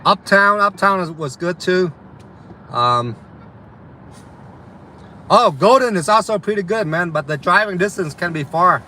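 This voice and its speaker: A young man with a slight Vietnamese accent talks in a loose, rambling way to live viewers, with plenty of ums and uhs. His voice is bright and forward. Faint city and traffic sounds hang in the background. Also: sits high, lively intonation, a little slow, pauses often. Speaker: delivery driver streaming to viewers. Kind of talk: casual live chat reading viewer comments.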